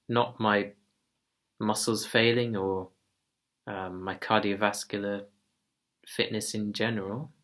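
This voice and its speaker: A man giving a casual personal update in a slow, monotone, calm voice. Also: on the low side. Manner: soft-spoken, relaxed, occasional quiet laughs. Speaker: vlogger.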